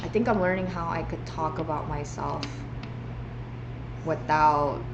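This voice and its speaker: Young woman vlogger, slightly low, earnest rambling talk, noisy home recording. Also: fairly even intonation, unhurried, creaky, dull audio. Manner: earnest, rambling, many restarts and fillers. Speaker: livestreamer. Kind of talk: reflective livestream monologue. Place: home room.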